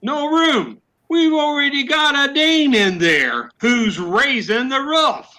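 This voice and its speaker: Actor as a high, sharp, blustering police sergeant. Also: male voice, animated, takes it slowly, exaggerated voice. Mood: exasperated. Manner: barking, repetitive orders. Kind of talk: old-time radio comedy acting.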